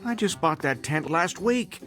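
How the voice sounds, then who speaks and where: A man playing a kindly, protective elderly grandfather in a cartoon game, with a steady, wry manner. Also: fairly high pitch, measured pace, soft background music. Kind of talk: animated cartoon game acting.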